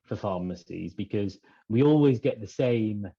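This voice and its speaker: A man talking through ideas in a conversational podcast discussion, in a halting, thinking-aloud manner. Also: lowish voice, lively intonation, creaky, slightly rough, muffled recording. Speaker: podcast regular discussing pharmacy business.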